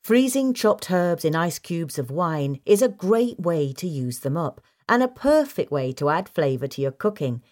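A woman's voice: fairly low pitch, very animated, normal speed, hardly any pauses, a little mellow. A woman reading a scripted instructional piece as a narrator. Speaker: narrator reading a gardening article.

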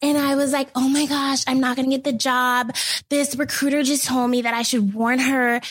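Young woman guest, high squeaky distinctive voice, animated chat, dry close-miked sound. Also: moderate pace, husky, no room sound. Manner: animated, laughs often. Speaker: beauty editor, guest. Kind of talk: casual interview chat.

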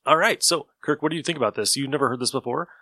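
A man's voice: mid-range voice, very fast, heavy background noise. A man hosting a podcast in a casual, conversational style. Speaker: podcast host. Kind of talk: casual chat.

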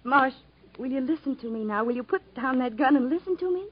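A cautious, earnest young sweetheart in old radio drama. Her voice is fairly high with a breathy, husky edge, hesitating as she gently presses her point. The sound is dull and vintage. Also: expressive, fairly quick. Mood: anxious, insistent. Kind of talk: 1950s American radio drama acting. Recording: dull 1950s radio broadcast recording.

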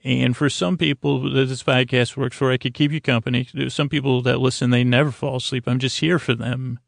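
A man hosting a sleep podcast, improvising a meandering, halting monologue that repeats itself and trails off, in a creaky, gravelly voice. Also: mid-range voice, steady tone, dry recording, studio quality. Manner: meandering, halting, repetitive, trails off mid-sentence. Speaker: podcast host.

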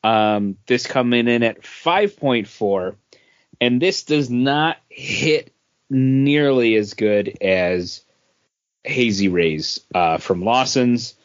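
A man's voice: medium pitch, slow pace, no room sound. A man speaking as a podcast co-host in casual, informal review-style chat. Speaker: podcast co-host, works at a beer store. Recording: dull, lo-fi podcast audio.

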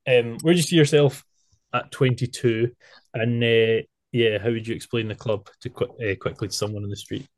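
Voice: mid-range voice, fairly even intonation, moderate pace. A podcast host in their twenties, leading a casual group interview with self-deprecating banter. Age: 20s.

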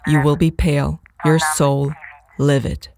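A man's voice: on the high side, little pitch movement, unhurried. A man playing a solemn poet who recites an intense, incantatory monologue in an audio drama. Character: solemn poet addressing a tightrope walker about death. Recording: clearly noisy recording with clicks.

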